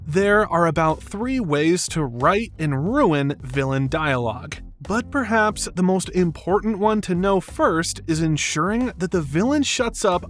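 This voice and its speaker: A man delivering scripted narration as the narrator of a video essay. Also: fairly high pitch.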